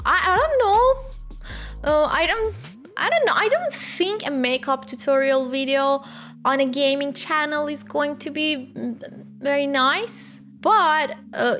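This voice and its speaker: A woman whose first language is Persian talks to her viewers in English with a Persian accent. Her voice sits on the higher side, and she answers questions casually, stopping and restarting with lots of fillers. Soft music plays faintly behind her. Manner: chatty and hesitant, with frequent fillers and restarts. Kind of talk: casual Q&A to camera.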